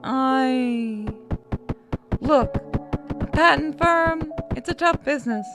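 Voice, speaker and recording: A woman voicing an evasive businessman husband in a visual novel, halting and defensive. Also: sits high, over music, pitched above the performer's own voice. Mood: defensive, pleading.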